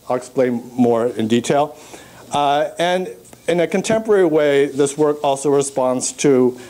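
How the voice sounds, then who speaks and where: A man born in Burma giving an academic conference talk on art, pausing often with uh and um as he walks through his slides. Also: medium pitch, a little mellow. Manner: halting, many uh and um fillers, pointing to slides. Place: lecture room at a conference.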